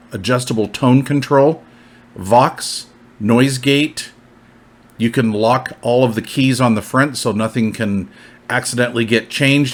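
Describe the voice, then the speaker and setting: A man giving an informal product overview, explaining features in a plainspoken way. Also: mid-range voice. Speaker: product reviewer presenting gear.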